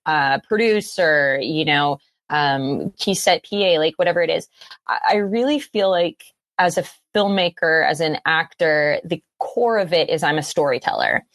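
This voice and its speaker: A woman's voice: sits low, fairly bright tone, no echo. A woman from Western Canada with a Canadian accent, speaking earnestly and thoughtfully as a filmmaker guest in a reflective interview.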